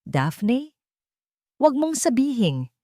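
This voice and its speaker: A woman plays a devoted young husband in a romantic audio story. He is earnest and remorseful, with tender, emotional delivery and lively swings of intonation. The recording is dry and close. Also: fairly high pitch, extremely slow, no room sound, voice pushed up, heavily acted. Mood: overjoyed, moved.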